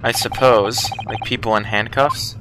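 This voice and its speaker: A man's voice: on the low side, steady tone, unhurried, background noise. A man hosting a casual let's play, reading dialogue aloud with wry commentary.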